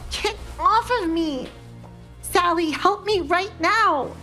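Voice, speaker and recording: A woman's voice: very high-pitched, takes it slowly, pushed and bright, pitched above the performer's own voice, full character voice. Woman acting a haughty, spiteful young noblewoman. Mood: panicked, furious. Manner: haughty, gloating. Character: vain, spiteful young noblewoman, jealous sister. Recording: background music under the voice.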